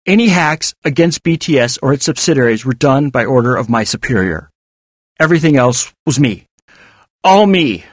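A cornered male hacker, played by a man reading an audiobook, speaks with strained emphasis and weakening defiance. His pitch moves widely, and the recording is dry and close. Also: animated, fairly quick, slightly rough, dry recording. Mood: desperate. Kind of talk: audiobook dialogue.

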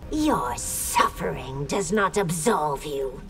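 A woman voicing a fierce, stern young empress in a video game, imperious and deliberate. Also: low-pitched, animated, over loud music, exaggerated voice. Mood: stern, regal. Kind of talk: video game character dialogue.

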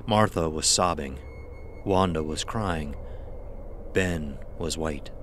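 Slow, very deep male horror narrator. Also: fairly bright tone, a little hiss or hum. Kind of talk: scripted horror audio drama narration.

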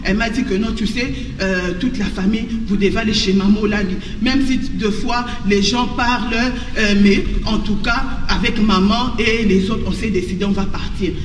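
A woman in her forties from Congo giving a spontaneous testimony on a church stage into a handheld mic, halting with many restarts and repeated words. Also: mid-range voice, steady tone, a little hiss or hum. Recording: echoey hall, heard from far, dull.

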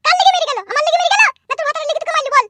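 A voice performer playing a shrill, nagging mother in a cartoon, who scolds her husband without pause. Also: bright, forceful tone. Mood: scolding. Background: background music.

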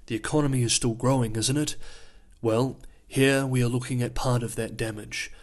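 A man hosting his own news analysis channel, reading a scripted voice-over in a measured, explanatory way, his voice going hoarse. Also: mid-range voice, steady tone. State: losing his voice.

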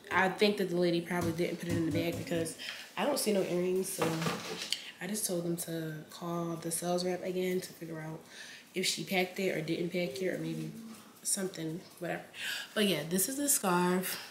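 A woman's voice: fairly low pitch, roomy sound, background noise. A woman in her twenties chatting straight to her viewers in a casual vlog, blunt and talkative, filmed at home. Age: 20s. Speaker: vlogger. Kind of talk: casual vlog chat to camera. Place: indoors in a home room.

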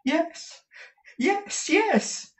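Timid young man, high-pitched, stammering, tearfully eager, storybook character, distant roomy recording. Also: expressive, slow, darkish tone, heavily acted. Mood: eager, overcome. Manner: stammering, breathless repetition of words.

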